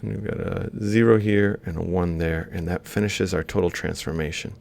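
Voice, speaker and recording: A man giving an informal, step-by-step lecture, explaining haltingly as he works through examples. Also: low voice, fairly even intonation, creaky, a little mellow. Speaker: university robotics lecturer. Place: screen-recorded lecture voice-over.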